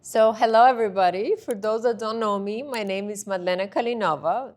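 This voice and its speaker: A woman's voice: medium pitch, a little fast, a little mellow. Woman, talkative, rambling storyteller, interview guest. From Bulgaria.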